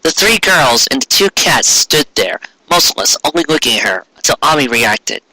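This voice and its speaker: Male narrator for amateur fiction reading: very forceful, bright tone, a bit husky, occasionally stumbling. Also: fairly high pitch, very animated, normal speed, no echo, poor audio. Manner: stumbles over words while reading. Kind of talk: dramatic reading of fan fiction.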